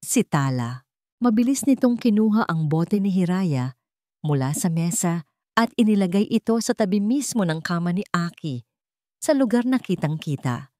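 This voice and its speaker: A woman's voice: on the low side, lively intonation, moderate pace, warm tone, no echo, clean studio sound. A woman reading a dramatic scripted story as its narrator.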